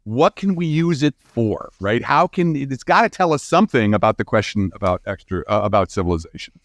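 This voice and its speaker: A man's voice: mid-range voice, sing-song, a little fast, no echo, studio quality. A man speaking as an expert guest in an interview, explaining in a casual, emphatic, informal way and often checking in with "right?". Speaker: guest expert, astrophysicist and author.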